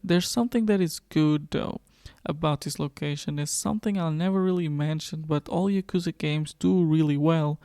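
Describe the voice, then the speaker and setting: Smooth, mellow-voiced man narrating a review. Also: a little high, normal speed, no echo, studio quality. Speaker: video game reviewer.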